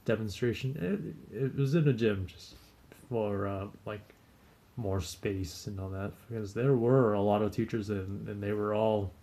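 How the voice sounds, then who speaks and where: Mellow-voiced young man rambling casually. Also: fairly low pitch. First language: not Japanese; speaks Japanese as second language. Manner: rambling, frequent restarts and chuckles. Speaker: English teaching assistant in Japan. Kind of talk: casual vlog monologue.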